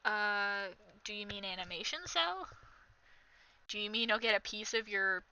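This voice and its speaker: A woman's voice: mid-range voice, a little slow, sharp tone, clear, resonant tone, low-quality recording. A young woman doing sarcastic reaction commentary, reading text aloud and mocking it with exasperation, in a somewhat nasal voice. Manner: mocking, exasperated, reads aloud then jabs. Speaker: commentary vlogger.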